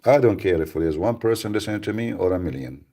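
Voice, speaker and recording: A man's voice: lowish voice, expressive, fast talker, warm tone. A man hosting a live radio talk, speaking bluntly and emphatically, with frequent repeats and restarts. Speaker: radio show host.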